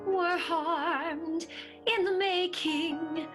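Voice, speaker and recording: A woman's voice: very high-pitched, fairly even intonation, drawn-out words, exaggerated voice. A woman playing a tender lullaby singer in an improvised radio soap, over music. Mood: tender, playful.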